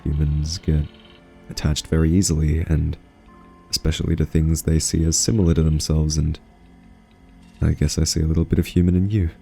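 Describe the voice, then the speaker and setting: A man playing an earnest, awkward scientist in a soft-spoken ASMR audio roleplay, talking haltingly with nervous ums. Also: a bass voice, flat delivery, vocal fry, soft background music. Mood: tender, hesitant. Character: earnest, awkward male scientist pleading with a captive AI.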